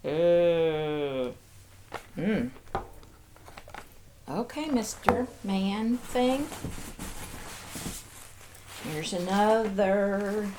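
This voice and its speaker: A woman's voice: medium pitch, animated, very slow, long pauses, background noise. A woman hosting a casual show-and-tell, chatting playfully and cooing as if to little toys and viewers. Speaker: host of a toy show-and-tell video.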